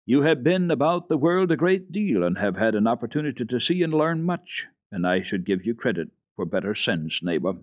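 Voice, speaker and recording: A man's voice: mid-range voice, very animated, normal speed, hardly any pauses, no echo, voice pushed up, close to an everyday voice. A man voicing an earnest village pastor in a storybook reading, admonishing yet friendly, with a hearty, preaching manner. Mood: stern, urging.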